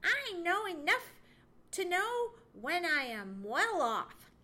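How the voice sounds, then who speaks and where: A woman voicing a nosy, snappish, disbelieving red squirrel with a sharp voice in a children's storybook. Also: very high-pitched, sing-song, slow, pitched above the performer's own voice, exaggerated voice. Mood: smug retort. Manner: persistent, retorting. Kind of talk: children's animal storybook character voice.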